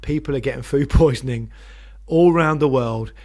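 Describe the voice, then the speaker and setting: Man co-hosting, voice going hoarse. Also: mid-range voice, normal speed. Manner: teasing, dry banter. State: losing his voice. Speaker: podcast co-host. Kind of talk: casual chat, reading a listener email.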